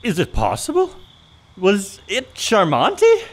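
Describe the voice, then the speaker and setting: Actor as jealous hothead young gentleman in an old farce, haughty, highish voice, slow dramatic delivery. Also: huge pitch swings, exaggerated voice. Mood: sheepish. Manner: haughty, declamatory. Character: jealous, hot-headed young gentleman lover. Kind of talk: theatrical period farce in an audio play.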